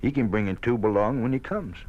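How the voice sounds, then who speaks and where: An actor playing a kindly, stubborn old farmer with a folksy, halting way of talking in an old-time radio drama. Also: male voice, mid-range voice, close to an everyday voice. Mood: puzzled, determined. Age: older. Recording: muffled, noisy vintage radio recording.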